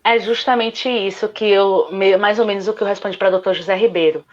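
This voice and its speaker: A woman's voice: mid-range voice, steady tone, fairly quick, dull audio. A woman from Salvador with a Bahian accent, answering questions on an online panel in an earnest, argumentative way. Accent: Bahian Portuguese. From Salvador, Bahia, Brazil. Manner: earnest, argumentative, repeats "justamente". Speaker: guest speaker, lawyer.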